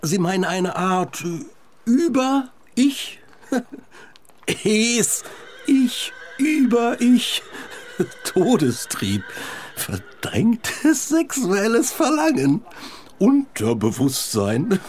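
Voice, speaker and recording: Stuffy period gentleman for radio drama: formal, scoffing, quick to take offence, very lively pitch swings. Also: a little hiss or hum, full character voice. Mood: amused, mocking. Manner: formal, amused scoffing turning indignant. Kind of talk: German radio play acting.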